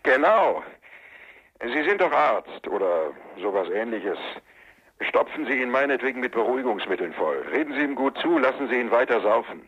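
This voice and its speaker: In muffled, narrow sound, a menacing go-between from a radio crime play. The voice is husky and cold. He presses his point in an insistent, businesslike way. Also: medium pitch, very animated, normal speed. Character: cold, menacing male go-between offering bribes. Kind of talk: radio crime drama acting.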